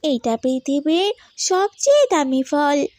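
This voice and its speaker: Small girl, very high voice, slow delivery, earnest, pious, gently preachy, cartoon. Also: very animated, dry recording, exaggerated voice. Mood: calm, gently chiding. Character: pious, gentle, wise poor little girl Hafsa. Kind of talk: moral cartoon voice acting.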